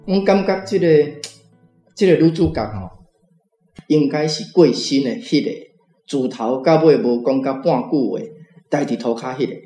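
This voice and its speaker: A Taiwanese man speaks as a guest commentator, explaining his reading in a particle-heavy way at a medium pitch. The room is very echoey, and faint guitar music runs behind his voice. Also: normal speed, mellow. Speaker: reading club member, guest. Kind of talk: spoken commentary on a story. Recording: roomy echo with faint music.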